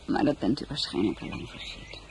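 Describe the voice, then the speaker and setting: An actress playing an earnest, emotional young crown princess in a radio play, speaking softly and wistfully, then urgently. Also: female voice, deep voice, wildly swooping pitch, fast talker. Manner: soft and wistful, turning urgent and pleading. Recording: noisy old radio play recording.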